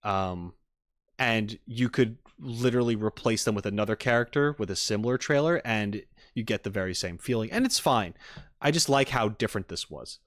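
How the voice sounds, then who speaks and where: A man's voice: on the low side, animated, normal speed, a bit bright, dry recording, studio quality. A man streaming casually to viewers, rambling and thinking aloud as he gives tentative opinions. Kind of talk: casual live-stream chat, reacting and giving opinions.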